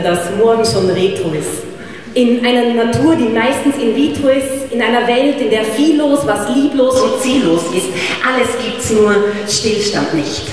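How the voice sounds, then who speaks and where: Woman performing slam poetry in a hall. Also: fairly high pitch. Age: 30s. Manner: rhythmic, emphatic, gesturing widely. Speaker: slam poet. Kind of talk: spoken word poetry performance. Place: large dark stage hall with live audience. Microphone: stand microphone. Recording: echoey hall, distant mic, audience noise.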